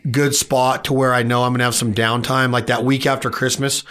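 A man in his late forties talking casually as a podcast co-host. His voice sits mid-range and jumps up in pitch when he gets excited about a point. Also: a little fast, smooth. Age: 40s. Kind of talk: casual chat.

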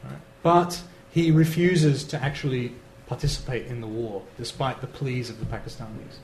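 A man giving an analytical academic talk, measured and steady, with fillers. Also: medium pitch. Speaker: lecturer on Iran. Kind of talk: academic lecture answer. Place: lecture hall. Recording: roomy hall, faint noise.

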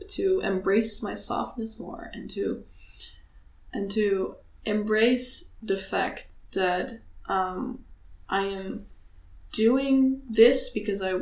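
Young woman, passionate and halting, candid talk, roomy recording. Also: medium pitch. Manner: earnest, passionate, halting with many restarts. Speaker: student activist. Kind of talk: candid conversation. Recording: echoey room.

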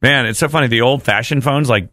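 A man's voice: medium pitch, fast talker, pushed and bright, no room sound, clean studio sound. A man hosting a morning radio show, chatting casually and running on-air auditions. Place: radio studio. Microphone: close broadcast microphone.

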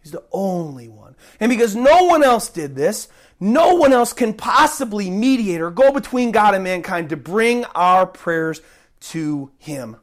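A woman's voice: mid-range voice, very animated, unhurried. A woman preaching an emphatic sermon while recovering from a cold and fighting to keep her voice. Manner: emphatic, repeats key points, swings between loud stresses and soft drops.

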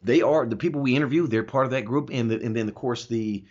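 A man with a rough, husky voice at a medium pitch talks as a show host. He explains his views with emphasis and often asks rhetorical questions. The audio is dull and a little lo-fi. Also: quick pace. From Germany. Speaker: talk show host answering listener questions. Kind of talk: opinionated conversational commentary.

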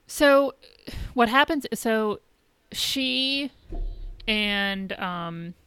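A woman with a bright, forward voice at a medium pitch co-hosts a casual podcast discussion. She explains and rephrases as she goes, recorded dry with no room sound. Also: expressive, drawn-out words, clear, resonant tone, no room sound. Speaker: podcast co-host.

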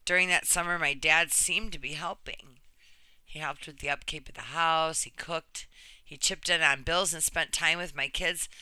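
A woman in her thirties narrates a first-person story from a script. Her voice is strikingly bright and clear, with crisp edges. She phrases things earnestly and deliberately, leaning on key words, and the sound is dry with no room around it. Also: low voice, steady tone, dry recording. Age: 30s.

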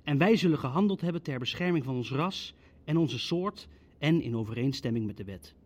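An actor playing an arrogant, legalistic Nazi official in a radio play, arguing formally and insistently. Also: male voice, mid-range voice, animated, fairly quick, a little mellow, lightly acted. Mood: vehement, grave.

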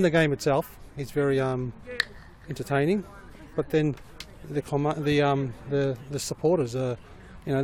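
A man in his forties, a junior football coach who grew up in Melbourne after arriving from Lebanon, answering in a relaxed, rambling way full of fillers. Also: on the high side. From Lebanon, raised in Melbourne. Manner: rambling, many fillers and restarts, easygoing. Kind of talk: casual interview answers. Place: outdoors at a football ground. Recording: outdoor recording with crowd behind.

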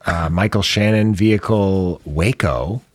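Man, low voice, casual podcast chat, laid-back complaining, dry studio sound. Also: unhurried, no room sound. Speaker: podcast co-host.

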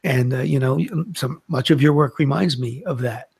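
Gravelly, husky-voiced male host. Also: medium pitch, steady tone, normal speed, a little mellow. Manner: stammering, repeats words. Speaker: interviewer, host.

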